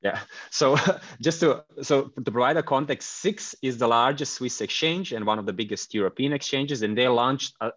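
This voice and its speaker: A man from Croatia, a guest founder, answering questions in quick conversational speech with many restarts and fillers. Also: mid-range voice, a bit bright, no room sound, highs cut off. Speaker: crypto company founder, guest.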